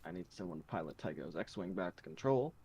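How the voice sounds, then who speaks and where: A man reading a brisk squadron commander. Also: low voice, animated, mellow, slightly rough, pitched below the performer's own voice. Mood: firm.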